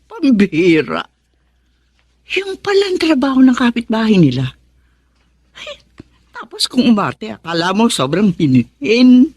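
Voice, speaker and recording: Nosy middle-aged gossip, husky, swooping high pitch, exclamatory, judgmental, radio drama. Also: female voice, darkish tone, no room sound, full character voice. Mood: scornful amusement. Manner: exclamatory, muttering to herself. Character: nosy gossiping neighborhood wife and mother, scatterbrained, judgmental. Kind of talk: Tagalog radio drama acting.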